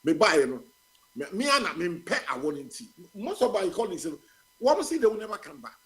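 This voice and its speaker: Brief: Ghanaian man, fifties, higher-pitched, talks in bursts of restarts. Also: sing-song, fast. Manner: mixes English and Twi, repeats words, stammers. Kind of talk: casual radio discussion.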